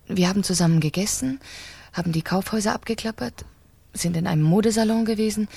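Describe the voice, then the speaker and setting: Icy scheming wife in a 70s radio thriller, lowish dry close voice, bossy and exact. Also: female voice, gravelly, mellow, slightly rough, dry recording. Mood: coolly calculating. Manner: commanding, precise. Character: cold, calculating French wife plotting her husband's murder. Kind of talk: 1970s German radio crime drama acting.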